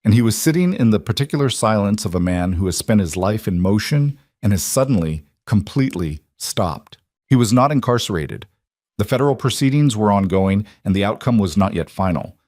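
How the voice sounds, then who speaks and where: A man reading a scripted dramatic story in a measured, solemn manner. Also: fairly low pitch, animated, darkish tone, no echo, studio quality. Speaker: narrator.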